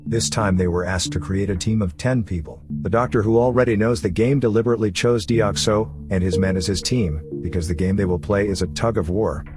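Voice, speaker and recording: A man's voice: lowish voice, a little fast, hardly any pauses, music in the background. A man reading scripted narration for a story recap video, as its narrator.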